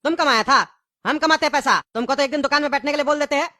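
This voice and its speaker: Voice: high voice, sharp tone, no room sound, studio quality. A performer voicing an exasperated pumpkin father in a Hindi cartoon, scolding and dismissive. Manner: scolding, dismissive, fast-talking. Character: exasperated, grumbling pumpkin father, adult male.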